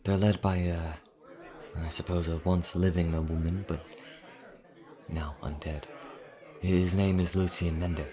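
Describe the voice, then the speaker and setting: An actor playing a nervous, earnest vampire traveler in a fantasy audio roleplay, speaking hesitantly with stammers. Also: male voice, low-pitched, little pitch movement, measured pace, pauses often, warm tone, a faint crowd. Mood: grave, worried.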